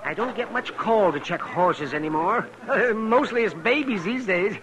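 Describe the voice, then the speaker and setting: An actor playing a fussy, chuckly, excitable town doctor with a wry streak in a western radio drama. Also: male voice, high voice, measured pace, poor audio. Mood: jovial, gossipy. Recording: old radio recording, crowd behind.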